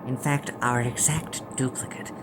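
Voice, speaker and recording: A woman playing a resolute, measured starship captain in a science fiction audio drama. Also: steady tone. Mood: reflective, resolved. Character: resolute female starship captain, measured, weighing hard choices. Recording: noisy track with engine rumble.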